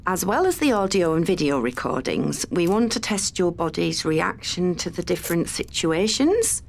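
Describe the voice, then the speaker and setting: A woman's voice: fairly low pitch, vocal fry, a little hiss or hum. A woman playing a research assistant in an audio drama, professional, matter-of-fact and soothing. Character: brisk, reassuring female research assistant at a university.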